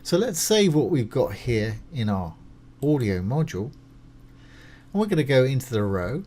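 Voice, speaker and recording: A man's voice: mid-range voice, big pitch swings, a little slow, darkish tone. A man presenting a casual step-by-step screencast tutorial, informal and reassuring as he explains each step while doing it. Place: voice-over of a screen recording.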